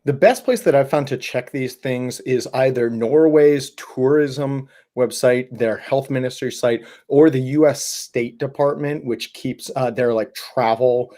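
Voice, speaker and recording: Casual male livestream host, slightly hoarse, talkative and rambly, mid-range pitch. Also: a little slow, few pauses, dark tone. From Southwest Ohio. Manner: chatty, rambling with many fillers and restarts.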